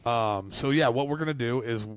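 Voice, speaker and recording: A man's voice: mid-range voice, normal speed, clear, resonant tone, no echo. A man in his forties co-hosting a casual podcast, rambling with lots of fillers and easy swearing. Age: 40s. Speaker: podcast co-host. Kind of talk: casual chat.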